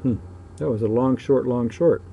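Male amateur video commentator, very dark relaxed tone, medium pitch, unhurried pauses, clear ambient vehicle noise. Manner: matter-of-fact, halting, pausing between phrases. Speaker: local shipping news publisher filming ships. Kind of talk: casual off-the-cuff commentary on video. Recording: muffled dark sound, clear background noise.

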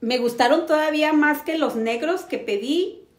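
A woman in her forties talks in a fairly high voice, chatty and enthusiastic. She hosts a casual home decor review, recorded indoors in an echoey living room. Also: moderate pace. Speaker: host of a home decor channel. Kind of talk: casual product review to camera. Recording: roomy echo.

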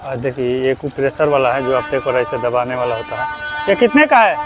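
A young man from Bihar narrating a walking vlog at an outdoor crafts fair on a lapel mic, repeating himself and loudly asking vendors for prices. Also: mid-range voice, animated, normal speed, noisy background. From Bihar, India. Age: young adult. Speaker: vlogger. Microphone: clip-on lapel mic.

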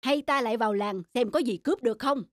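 Whiny little cartoon demon. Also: male voice, extremely high pitch, fast, no echo, studio quality. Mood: grumbling, eager. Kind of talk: cartoon voice acting. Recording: background music under the dialogue.

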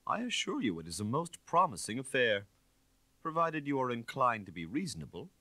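Man performing audiobook ex-detective, middle-aged, insinuating, condescending, forceful edge, dry close sound. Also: medium pitch, sing-song, brisk, no room sound, pitched above the performer's own voice, heavily acted. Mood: coolly menacing. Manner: condescending, smoothly persuasive. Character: forty-ish shabby ex-detective, smooth, insinuating, menacing.

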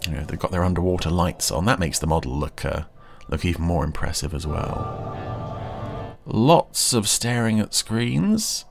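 A man giving casual, musing commentary while watching a TV episode, often pausing mid-thought. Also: deep voice, lively intonation, vocal fry, over loud music. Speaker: podcast commentator.

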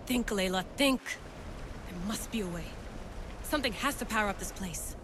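A woman's voice: medium pitch, normal speed, background noise, lightly acted. An actress playing a curious modern-day female explorer in a video game, muttering thoughts to herself. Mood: musing. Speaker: game character.

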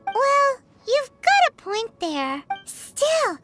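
Casting: tiny childlike game fairy, extremely high squeak, wildly animated, excitable, easily indignant. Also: female voice, very slow, over loud music, exaggerated voice. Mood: curious, chatty. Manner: speaks of self in third person, exclaiming. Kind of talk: animated fantasy game dialogue.

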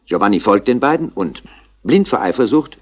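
An actor playing an eager subordinate police inspector, reporting briskly and factually in a 1960s radio play. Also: male voice, on the low side, very animated. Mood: eager, speculating.

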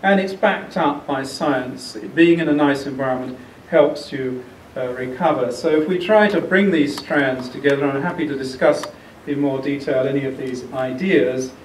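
An older man giving a public lecture, speaking in a measured, explanatory way with frequent pauses. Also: medium pitch, a little hiss or hum. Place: large lecture theatre. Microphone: podium mic, then handheld. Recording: echoey hall picked up from a distance.

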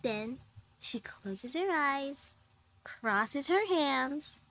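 Woman doing excitable teasing schoolgirl for a comic dub, super high voice, takes her time. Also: very slow, full character voice. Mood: hushed narrating glee. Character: mischievous, excitable young schoolgirl, gleeful teaser. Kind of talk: amateur comic fandub acting.

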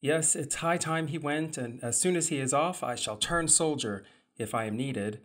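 An actor playing an earnest, soft-spoken young male tutor in an audio drama, formal and polite. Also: on the high side, normal speed, lightly acted. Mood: gentle. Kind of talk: radio play acting.

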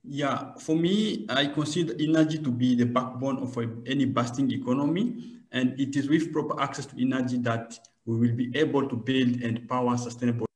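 A man's voice: mid-range voice, steady tone, fairly quick, few pauses. A man giving his remarks as a panelist on a video call. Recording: echoey room over video call.

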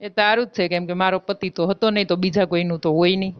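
A woman's voice: on the low side, fairly even intonation, fast talker, a little hiss or hum, close to an everyday voice. A woman playing an aggrieved married village woman in a phone-call drama, with a Gujarati accent, insistently accusing and threatening her lover. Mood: reproachful. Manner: insistent, reproachful, argumentative. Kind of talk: staged phone-call drama in colloquial speech. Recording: dull, lo-fi phone call recording.

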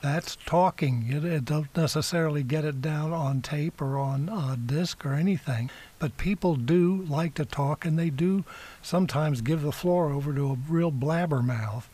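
Talkative man with a slightly high voice. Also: moderate pace, mellow. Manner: talkative, emphatic, rambling. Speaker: radio show co-host. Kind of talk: casual opinionated discussion.

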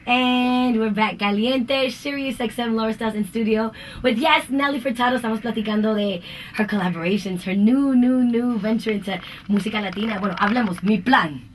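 A young woman runs a radio show from a studio mic. Her patter is brisk and bright, and she slips between Spanish and English. A light hiss of room noise sits behind her. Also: on the high side, recorded from a distance, poor audio. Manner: upbeat on-air patter mixing Spanish and English. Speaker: radio host. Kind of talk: bilingual radio hosting. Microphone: studio microphone with headphones.

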